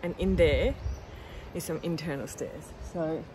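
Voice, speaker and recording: A woman's voice: low voice, a little slow, mellow, a little hiss or hum, low-quality recording. An Australian woman in her thirties chatting casually to her phone camera for a farm vlog, rambling with plenty of fillers. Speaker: farm vlogger. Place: outdoors in a backyard by a house. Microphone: phone held at arm's length.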